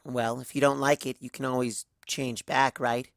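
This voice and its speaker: An earnest, curious pony mare, voiced by a male reader, polite and hesitant in a slightly lifted pitch. It is storybook character work, recorded dry and close. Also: flat delivery, normal speed, vocal fry, no echo, close to an everyday voice. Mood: pleading.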